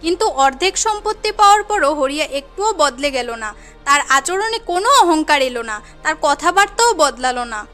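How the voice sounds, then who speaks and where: A woman's voice: sits high, sing-song, normal speed, sharp tone, soft background music. A woman narrating a moral cartoon tale in an earnest, dramatic storytelling manner.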